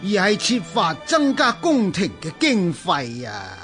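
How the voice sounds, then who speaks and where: A man with a Hong Kong Cantonese accent playing an imperious, world-weary emperor with a husky voice in a historical radio drama. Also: sits high, huge pitch swings, takes it slowly, fairly bright tone, faint music behind, full character voice. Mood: weary, resigned. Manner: imperious, sighing, grumbling. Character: money-hungry, world-weary male emperor of imperial China.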